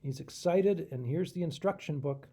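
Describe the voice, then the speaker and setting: A man, a pastor, reading a children's storybook aloud in an expressive way and speaking directly to his young listeners. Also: a little high, normal speed, a little hiss or hum. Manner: animated, expressive, explains pictures to young listeners.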